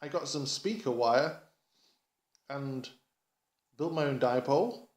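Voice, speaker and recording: Echoey, enthusiastic man from England, rambling casually. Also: medium pitch. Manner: enthusiastic, rambling, halting with repeated words. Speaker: amateur radio hobbyist vlogger. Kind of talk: casual vlog update. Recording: echoey, roomy sound.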